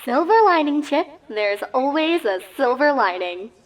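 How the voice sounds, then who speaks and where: A woman voicing an excitable pony sports announcer with hyped, showy broadcast delivery in a comedic story reading. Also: high-pitched, a little slow, pitched above the performer's own voice, low-quality recording. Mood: upbeat, showy.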